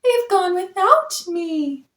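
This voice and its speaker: A woman's voice: extremely high pitch, big pitch swings, slow pace, a bit bright, pitched above the performer's own voice, heavily acted. A woman voicing a sensitive young male dinosaur in a storybook, with earnest, plaintive hoots. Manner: hooting, plaintive cries. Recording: roomy echo.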